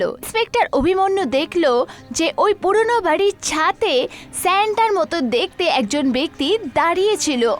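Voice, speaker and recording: Very high-pitched, animated woman narrator. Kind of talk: scripted horror story narration.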